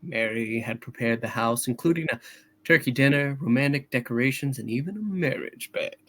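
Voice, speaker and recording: A man's voice: medium pitch, fairly quick, a bit bright, close to an everyday voice. A man reading as the storyteller of a small-town Christmas tale in a scripted radio play. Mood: storytelling.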